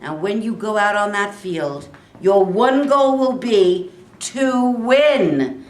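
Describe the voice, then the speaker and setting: A woman playing a fiery middle-aged male high school football coach, giving a commanding pep talk in a staged reading. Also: animated, takes it slowly. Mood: rousing, fierce. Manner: emphatic, commanding, rousing. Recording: echoey live room.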